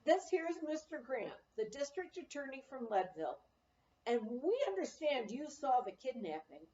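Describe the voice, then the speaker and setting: A woman's voice: sits high, sing-song, moderate pace, mellow, voice pushed up. A woman voicing a plain-spoken, easygoing small-town male sheriff in a storybook reading. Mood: formal. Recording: echoey room, slightly dull audio.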